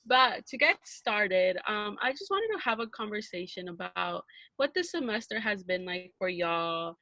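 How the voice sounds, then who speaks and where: A bubbly young woman from Arizona hosts a relaxed student podcast over a video call. Her voice is bright and sits in a dry, close sound. She laughs easily and fills her talk with um and like. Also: mid-range voice, unhurried, no echo, low-quality recording. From Arizona, USA. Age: young adult. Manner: bubbly, laughs often, says um and like. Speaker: podcast host.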